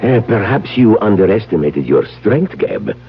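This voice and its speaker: A very low-voiced, self-important crime boss of old radio drama. His delivery swoops dramatically, yet stays courtly and menacingly polite. The recording is muffled and slightly noisy. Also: big pitch swings, normal speed, vocal fry, loud music behind the voice. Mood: smoothly menacing. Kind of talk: 1970s radio drama acting. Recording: muffled 1970s radio recording, faint noise.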